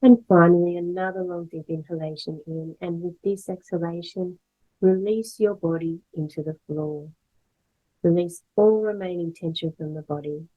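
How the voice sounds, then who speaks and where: A woman guiding a meditation as a yoga instructor, speaking in gentle, measured phrases with pauses. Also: on the low side, steady tone, narrow, tinny audio, soft background music. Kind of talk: guided meditation, slow soothing instruction.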